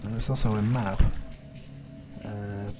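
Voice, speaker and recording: A man's voice: on the low side, level tone, takes it slowly, background noise. A man role-playing a cynical, jittery male vampire, thinking aloud in a hesitant, foul-mouthed mutter during a video game playthrough. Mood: wary, resigned. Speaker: gamer playing in character. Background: game music.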